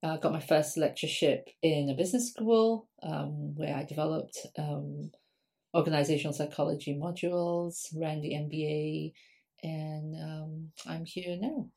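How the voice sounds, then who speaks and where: A woman's voice: deep voice, fairly even intonation, unhurried, creaky, warm tone. A woman from Singapore, an academic guest on a podcast, answering questions conversationally with frequent ums.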